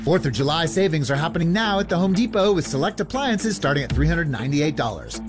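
A man reading a retail sale ad in a brisk announcer style. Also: on the high side, expressive, music in the background. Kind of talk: retail ad read.

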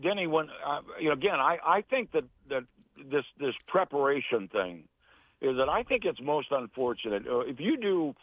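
A man's voice: on the high side, very animated, moderate pace, dry recording. American man on a tinny phone line. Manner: emphatic, repeats phrases for stress. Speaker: interview guest, sports announcer and broadcasting teacher. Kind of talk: earnest conversational interview answers.

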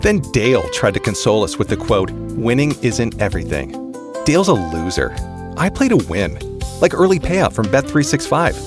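A man's voice: medium pitch, very animated, normal speed. A man reading a comedic scripted ad in a smug, mocking manner. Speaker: ad spokesman. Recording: ad with guitar music behind.

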